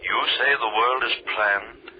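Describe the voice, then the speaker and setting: A man's voice: fairly low pitch, steady tone, unhurried, close to an everyday voice. An actor playing a grave, cryptic man delivering a prologue in 1930s radio horror style. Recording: muffled, hissy 1930s radio recording.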